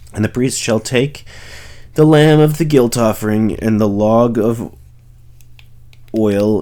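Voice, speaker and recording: Man, lowish pitch, scripture reading, reader. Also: a little mellow. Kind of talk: scripture read aloud.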